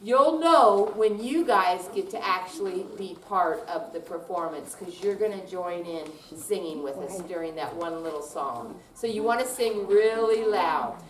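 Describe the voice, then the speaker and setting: A woman's voice: on the low side, lively intonation, a little slow, few pauses. A woman giving an informal, chatty and encouraging welcome speech to an audience as the host of an event. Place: indoor room at a senior center. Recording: roomy, distant mic, noisy hall.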